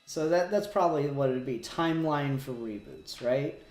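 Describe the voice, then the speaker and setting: A man's voice: mid-range voice, animated, moderate pace, a little mellow, faint music behind. A man hosting a casual comic book discussion podcast, informal and joking, with some swearing. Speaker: podcast host. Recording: roomy, echoey voice pickup.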